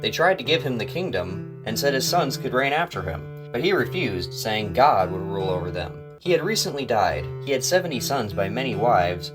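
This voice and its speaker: A man hosting and narrating a story in a dramatic, scripted style. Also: mid-range voice. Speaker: host and narrator.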